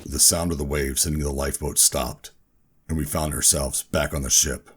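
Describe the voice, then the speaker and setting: A man playing a weary, guarded government operative, telling a sci-fi horror audio drama in a brooding, deliberate way. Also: a bass voice, fairly even intonation, a bit bright, husky, heavily acted. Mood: somber, reflective. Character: weary, guarded male government operative narrating his own ordeal. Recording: music under the voice. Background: music.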